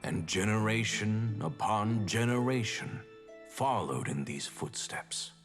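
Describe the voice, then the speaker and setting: An actor playing a solemn dying doctor and master in a game story, speaking in grave, measured monologue. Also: male voice, on the low side, animated, full character voice. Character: solemn dying doctor, a male master passing on his contract. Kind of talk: dramatic scripted narration and monologue. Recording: loud music under the voice. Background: loud music.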